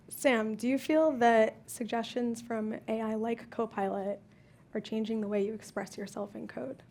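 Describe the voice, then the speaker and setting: A woman's voice: a little high, fairly even intonation, a little slow, a little mellow, slight background noise. A woman moderating a panel, asking brief, friendly questions. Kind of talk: panel discussion.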